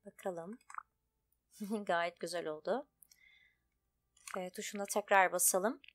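A woman's voice: mid-range voice, steady tone, slow pace. A woman giving casual, chatty let's play commentary, thinking aloud as she goes. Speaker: gaming video host.

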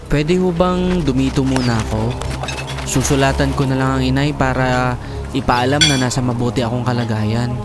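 A man's voice: medium pitch, moderate pace, lightly acted. A man playing an earnest, grateful young shipwreck survivor in a horror audio drama. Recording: loud music bed behind voice.

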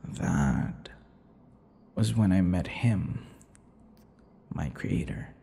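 A brooding, unhinged vampire, acted by a man for an intimate audio roleplay. He speaks low and very slowly in halting, seductive phrases that sink into breathy whispers. Long reverb hangs around every word. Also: big pitch swings, full character voice. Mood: bitter, brooding. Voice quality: breathy, gravelly, often dropping to a whisper. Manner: slow, halting, dramatic pauses and dark chuckles. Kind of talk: intimate ASMR roleplay monologue.

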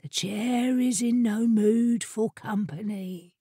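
A woman's voice: on the high side, steady tone, warm tone, breathy edge, no room sound, studio quality. A woman voicing a lugubrious, self-important talking armchair in a storybook, drawing out its sleepy complaints. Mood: sleepy, aloof. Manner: lugubrious, slow, drawn-out complaint.

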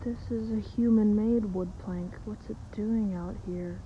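A woman playing an anxious, foul-mouthed wanderer lost in a forest, muttering haltingly to herself in a found-footage horror drama. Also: medium pitch, fairly even intonation, natural voice. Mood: wary, frustrated. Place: outdoors in woods. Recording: lo-fi noisy camcorder tape audio.

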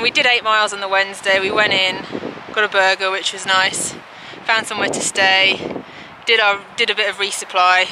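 Casting: woman, thirties, casual trail vlogger, informal and rambling, heavy wind noise on a handheld camera. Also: medium pitch. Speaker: hiking vlogger. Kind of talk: casual vlog recap chat. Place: outdoors on a mountain desert trail. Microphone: handheld camera at arm's length. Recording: windy outdoor camera audio, loud noise. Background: loud wind noise.

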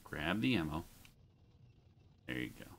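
A man's voice: very deep voice, sing-song, measured pace. A man in his thirties streaming live gameplay commentary, swearing and venting frustration at the game. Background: game music.

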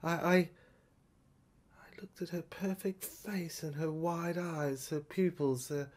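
Woman, dramatic storyteller, lowish breathy voice, halting, repeated phrases, first-person narration. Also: steady tone, a little mellow.

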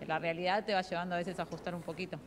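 A woman's voice: on the low side, level tone, rapid-fire, a crowd in the background. Hesitant Argentine shopkeeper woman. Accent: Rioplatense Spanish. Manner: hesitant, many fillers and restarts. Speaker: uniform shop owner. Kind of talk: live TV interview by phone. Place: busy clothing shop. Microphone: phone held to ear.

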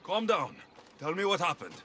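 An actor playing a righteous young warrior in a video game, intense, confrontational and protective. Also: male voice, high voice, very animated, normal speed, sharp tone, a little hiss or hum, exaggerated voice. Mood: concerned. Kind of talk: dramatic video game acting.

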